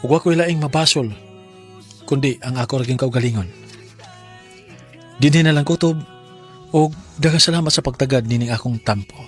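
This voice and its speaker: A man's voice: mid-range voice, moderate pace, pauses often, faint music behind, close to an everyday voice. An actor with a Cebuano Filipino accent playing a heartbroken young man betrayed by his friend in a radio drama. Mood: remorseful.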